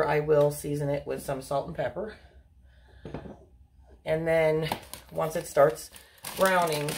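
Gravelly woman chatting over a sizzling pan. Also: deep voice, noisy background. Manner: chatty, rambling, frequent ums and apologies. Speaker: home cooking vlogger. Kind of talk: casual cook-along chat. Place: home kitchen, cooking at the stove. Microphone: fixed camera angled down at the stove. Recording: clear frying sizzle in a home kitchen. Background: frying sizzle and stirring.

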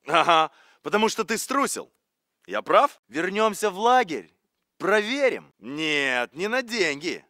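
A needling, cocky soldier for a military game. The voice is high for a man and tense, swinging freely in pitch. It is recorded close and dry. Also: pushed and bright, dry recording, heavily acted. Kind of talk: video game dialogue.

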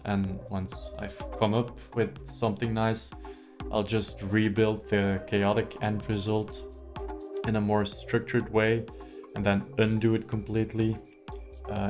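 Hesitant Belgian man explaining software. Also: fairly low pitch. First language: not English. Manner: careful, hesitant explaining with many ums and uhs. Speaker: tutorial host. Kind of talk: screen-recorded software tutorial.

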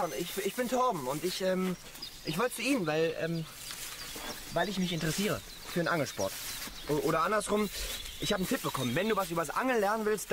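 A man's voice: on the high side, lightly acted. An actor playing a hesitant, polite young man of nineteen in a radio play, awkward and eager, stammering a little. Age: young adult. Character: awkward, hesitant nineteen-year-old lad, eager to learn. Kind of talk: naturalistic German radio play acting. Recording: noisy outdoor ambience with birds. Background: birds and outdoor nature sounds.

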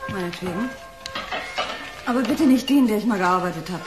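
A woman's voice: medium pitch, animated, brisk, close to an everyday voice. An actress playing a dry, needling housewife and mother in a radio crime drama, sounding unimpressed. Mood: dryly needling. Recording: echoey kitchen with dishes and music.

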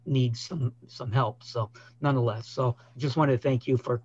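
Older male senator, flat delivery, halting speech, muffled lo-fi call audio. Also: mid-range voice. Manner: halting, many uhs and you knows. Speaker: state senator on a committee. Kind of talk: hearing remarks. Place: office with a whiteboard. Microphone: video call. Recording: dull lo-fi video call audio.

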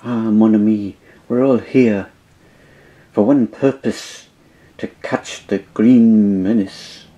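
A man's voice: lowish voice, steady tone, slow pace, warm tone, dull audio, pitched below the performer's own voice. A man voicing a fussy, proud male Belgian detective with a pompous, affected French-style accent, in a told story. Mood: earnest. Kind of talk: storytelling with voices.

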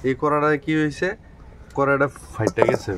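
A man's voice: mid-range voice, a little fast, a little hiss or hum. Village cook in his thirties, plain mid voice, casual explaining outdoors. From Bangladesh. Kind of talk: casual explanation while cooking.

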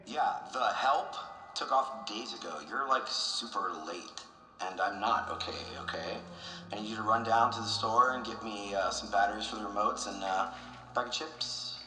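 An actor playing a lazy, entitled slob in a video game, drawling with languid indifference. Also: male voice, lowish voice, moderate pace, sharp tone, roomy sound, soft background music, rough recording. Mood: whiny, entitled. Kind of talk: video game cutscene acting.

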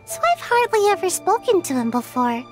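A woman's voice: very high voice, very animated, moderate pace, soft background music. A woman voicing a sleepy, lazy little girl ninja who whines and pleads. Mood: relieved. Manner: drowsy, whiny, pleading. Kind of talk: game voice acting. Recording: game score behind.

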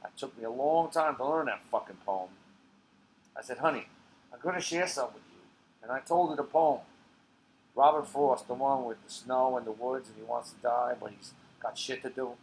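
A man's voice: mid-range voice, moderate pace, creaky, a little hiss or hum, natural voice, low-quality recording. A man reading a stage play, voicing a tired, streetwise old bar regular in short, blunt, profane phrases. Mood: reflective. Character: tired, profane, streetwise old male barfly rambling to strangers. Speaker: playwright reading his own play. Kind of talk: dramatic monologue reading.

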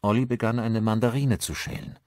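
A man narrating a thriller audiobook as scripted storytelling, with expressive phrasing. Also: lowish voice, a little fast, dry recording, clean studio sound.

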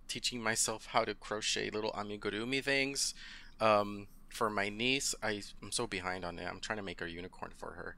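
A man's voice: on the low side, creaky, sharp tone, smooth. A man in his thirties, a guest on a podcast, chatting casually over a video call, rambling with lots of ums and frequent laughs. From United States. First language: Spanish. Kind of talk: casual interview chat.

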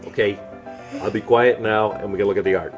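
A man with a big baritone voice, co-hosting a casual family travel vlog with his son and cracking playful puns. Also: steady tone, fairly quick, muffled recording, loud music behind the voice. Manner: playful, punning dad jokes. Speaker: father and co-host.

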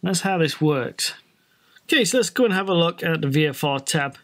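A man's voice: a little high, expressive, moderate pace, a bit bright. A British man giving an informal, explanatory walkthrough as a flight training presenter in a casual tutorial style. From Britain.

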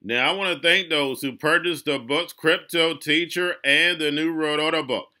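A man's voice: on the high side, normal speed, pushed and bright. A man hosting his own crypto channel, talking urgently and preachily, addressing viewers as "guys" and repeating warnings. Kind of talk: monologue market commentary.

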